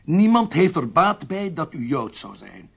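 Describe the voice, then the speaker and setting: A husky, somewhat high-voiced military prison doctor in a radio drama. He interrogates with measured, formal courtesy that carries menace. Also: big pitch swings, fairly bright tone, exaggerated voice. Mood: politely threatening. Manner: measured, formal, pointedly polite.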